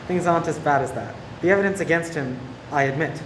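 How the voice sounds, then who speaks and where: A man playing an earnest, restrained police detective in a radio play, polite and measured. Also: on the high side, lightly acted. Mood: tender, regretful. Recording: echoey room with clear background hum.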